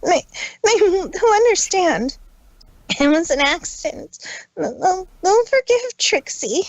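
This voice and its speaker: A woman's voice: extremely high pitch, animated, slow, no room sound, highs cut off, heavily acted. A woman voicing a frightened, pleading pony mare who stammers tearfully. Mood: terrified pleading. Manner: stammering, third-person self-reference.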